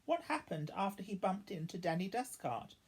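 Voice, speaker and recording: An eager little elephant child acted out by a woman reading a storybook, cheeky and pleading. The voice is pitched up from her low natural register, a bit husky and very lively. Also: normal speed. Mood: curious pleading.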